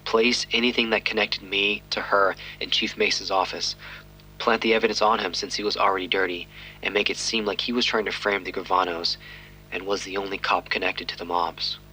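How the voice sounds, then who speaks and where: An actor playing a guilt-ridden police detective giving a grave, terse confession in a crime audio drama. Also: male voice, low voice, steady tone, brisk, bright, forceful tone, slight background noise, low-quality recording. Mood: ashamed confession. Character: guilt-ridden, weary but determined male police detective.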